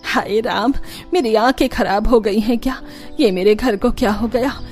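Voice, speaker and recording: A woman's voice: on the high side, moderate pace, soft background music, lightly acted. A woman voicing an indignant older mother-in-law, exclaiming and scolding dramatically in a cartoon moral story. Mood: shocked, outraged.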